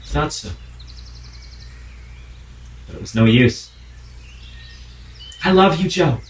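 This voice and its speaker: An actor playing an ardent, impulsive young gentleman in a period audio play. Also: on the high side, animated, slow pace, reverberant, far from the mic. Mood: lovelorn, pleading.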